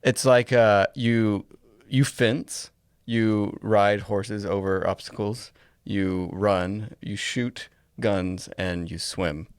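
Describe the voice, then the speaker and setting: A man's voice: on the low side, fairly even intonation, takes it slowly, clean tone, no room sound. A man co-hosting a casual podcast, chatting with dry, joking humor. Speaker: podcast co-host.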